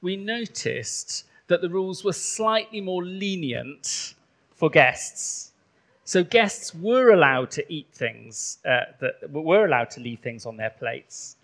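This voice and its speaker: A man's voice: on the high side, very animated, slow. A man from rural Wales, a bishop whose first language is Welsh, giving a chatty sermon in a church with many ums. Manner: warm, conversational preaching with frequent ums.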